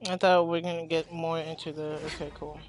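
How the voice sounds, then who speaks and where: A woman's voice: low voice, flat delivery, a little fast, poor audio. A female gamer giving casual, informal commentary and muttered reactions while she plays. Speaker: video game streamer. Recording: faint game music behind.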